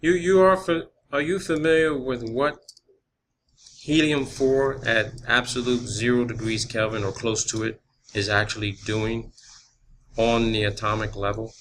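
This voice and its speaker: A man making a phone inquiry, explaining and asking questions in a halting, rambling way, on a phone call recording. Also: mid-range voice, fairly even intonation, fairly bright tone, a little hiss or hum. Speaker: caller, student researcher.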